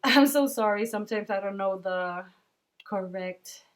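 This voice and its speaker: A young woman from Puerto Rico with a Puerto Rican Spanish accent, narrating a casual voice-over as she decorates a planner, pausing often with ums and small laughs. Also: medium pitch. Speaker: planner sticker shop owner.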